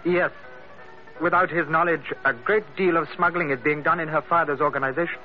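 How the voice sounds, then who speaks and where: A man's voice: a little high, fairly even intonation, natural voice. An actor playing a courteous, dignified young Chinese man in hiding, speaking formal, careful English in an old-time radio drama. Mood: earnest, grave. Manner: formal, measured, careful diction. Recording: dull old radio recording, faint music.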